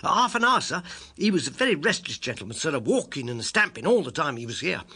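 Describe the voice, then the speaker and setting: An eager young page boy in an audiobook story, pitched well up and bright in tone. He speaks in a deferential, colloquial servant's way, with a lively swing to the voice. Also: fast, slightly rough, exaggerated voice. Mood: eager, agitated. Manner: colloquial servant speech, addresses listener as sir. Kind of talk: acted audiobook character.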